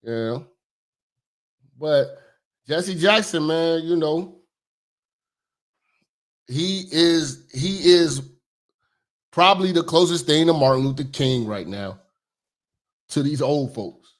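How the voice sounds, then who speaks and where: A man hosting a comedic commentary, talking loud, profane and animated, sometimes shouting. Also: a little high, slow, long pauses. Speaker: commentary host.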